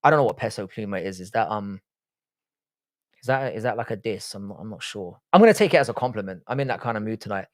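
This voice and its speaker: A man's voice: lowish voice, animated, fast. A man hosting a casual livestream, reading chat aloud and bantering, swearing freely.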